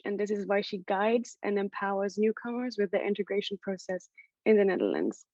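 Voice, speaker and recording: A woman's voice: medium pitch, a little mellow, no room sound. A woman moderating a webinar, politely reading out a speaker's introduction over a video call. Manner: polite, reads a speaker bio. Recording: dry muffled call audio.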